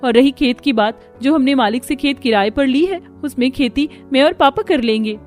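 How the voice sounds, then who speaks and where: A woman puts on a practical young sister in a cartoon story. The voice is raised in pitch, eager and emphatic, urging and persuading, with music behind. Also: normal speed, lightly acted. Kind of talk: Hindi cartoon story dialogue.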